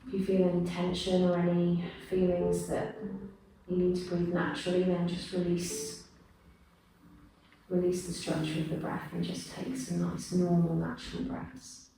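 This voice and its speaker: A woman yoga teacher leading a guided breathing meditation with soothing, measured instruction. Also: fairly low pitch, completely flat delivery, a little hiss or hum. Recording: very echoey room, distant mic, loud music. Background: music.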